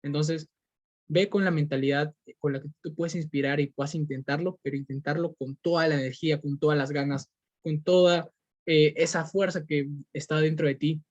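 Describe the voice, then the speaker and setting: Peruvian man, young adult, podcast host, slightly high voice, earnest, hesitant fillers, dull lo-fi sound. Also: steady tone, normal speed, dry recording. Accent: Peruvian Spanish. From Peru. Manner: earnest, repetitive, many fillers and restarts. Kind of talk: motivational podcast monologue. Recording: dull lo-fi recording.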